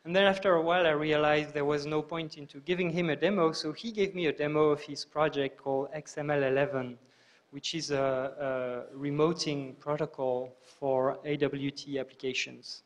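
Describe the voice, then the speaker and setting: A man hosting a tech talk, giving an informal, halting introduction of a guest speaker. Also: on the high side, steady tone.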